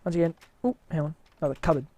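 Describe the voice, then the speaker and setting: Tired man in a dry booth, high voice, hesitant rambling tour of his build, pokes fun at himself. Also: fairly even intonation, racing, mellow, dry recording. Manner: rambling, hesitant, self-mocking. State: tired, voice wearing out. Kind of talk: casual rambling walkthrough commentary.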